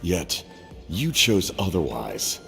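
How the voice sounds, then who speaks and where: A brooding, coldly formal game captain speaks from the very bottom of the male range. The gravelly voice swings in pitch as it gives imperious, measured lines in a long reverberant space with music beneath. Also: wildly swooping pitch, bright, forceful tone, heavily acted. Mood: guarded, stern. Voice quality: deep, gravelly. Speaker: military commander. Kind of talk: dramatic video game acting.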